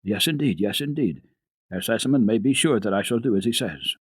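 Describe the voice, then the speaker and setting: A man's voice: lowish voice, expressive, fairly quick, slightly rough, no room sound, clean studio sound. A man voicing an earnest, frank male servant in a storybook reading. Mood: eager obedience. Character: indignant, frank male servant denying blame.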